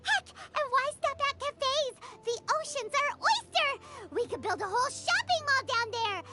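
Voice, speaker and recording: A woman voicing a small, childlike fairy companion in a video game, excitable and naive, with a squeaky voice. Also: a little slow, loud music behind the voice, exaggerated voice. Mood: eager, excited. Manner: excitable, exclamatory, refers to herself by name. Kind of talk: video game character dialogue.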